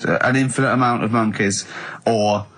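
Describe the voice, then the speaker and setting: A man hosting comic radio banter, exasperated, badgering and quick to interrupt. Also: on the low side, fairly even intonation, fairly bright tone. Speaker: radio show host.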